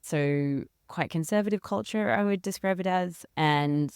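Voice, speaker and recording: A woman's voice: lowish voice, measured pace, a little mellow, clear, resonant tone, no room sound, studio quality. An Australian woman in her forties, talking casually and conversationally as an interview guest. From rural South Australia. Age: 40s. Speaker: interview guest, leadership consultant and researcher.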